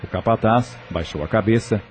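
A man's voice: lowish voice, normal speed, a little mellow, a little hiss or hum. A man with a Brazilian accent reading scripted narration for a radio soap.

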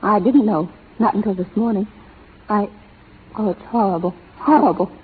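Vintage radio heroine: refined young schoolteacher, principled, earnest and dramatic, husky, on tinny old recording. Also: female voice, mid-range voice, normal speed. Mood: horrified, accusing. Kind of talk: 1930s radio melodrama acting.

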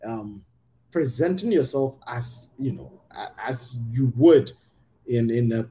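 A man hosts a relaxed podcast conversation with an expressive voice that rises and falls a lot. He speaks emphatically and keeps checking in with his listener. His delivery stays loose and conversational. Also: medium pitch, slow pace, slight background noise. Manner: animated, emphatic, often asks "right?". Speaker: podcast host. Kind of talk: casual conversational podcast chat.

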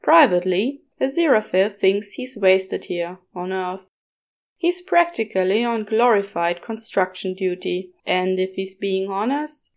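A woman's voice: medium pitch, a little mellow. A woman reading a story aloud as scripted audiobook-style narration. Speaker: podfic narrator. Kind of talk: scripted narration of fan fiction.

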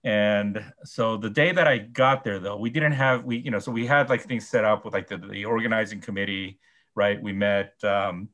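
A man, a sports columnist guest, answering interview questions casually with many pauses, fillers and restarts. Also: on the low side, fairly bright tone.

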